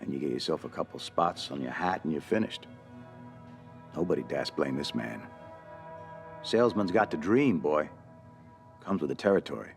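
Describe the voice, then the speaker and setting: A deep, gravelly, weathered friend in mourning. He speaks in folksy, plain words with solemn stage-drama weight, faint music underneath. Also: expressive, normal speed, long pauses, exaggerated voice. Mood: rueful, impassioned. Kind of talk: dramatic reading of a stage play.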